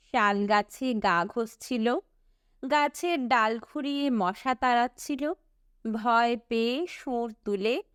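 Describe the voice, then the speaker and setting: A woman reading a storybook as an audiobook narrator, with a measured, deliberate storytelling delivery. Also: fairly high pitch, clean tone, no room sound, studio quality.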